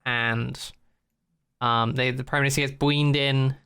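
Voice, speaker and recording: A man's voice: medium pitch, flat delivery, a little slow, creaky, pushed and bright, clear, resonant tone. A man hosting a podcast, chatting in a casual, rambling comic recap style with an irreverent, sweary manner. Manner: irreverent, swearing, acts out quotes in put-on voices. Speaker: podcast host.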